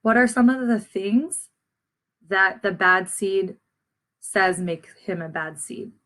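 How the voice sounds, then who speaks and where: A woman's voice: mid-range voice, slow pace, bright, forceful tone. A woman speaking instructively to children as a teacher, addressing them as friends while reading aloud and posing lesson questions.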